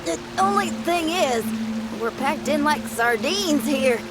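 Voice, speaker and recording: Spunky young rocker girl, high lively voice, breezy, excitable, game acting, loud music behind. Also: takes it slowly. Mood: sheepish, excited. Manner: breezy, enthusiastic, colloquial. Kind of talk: video game character voice acting.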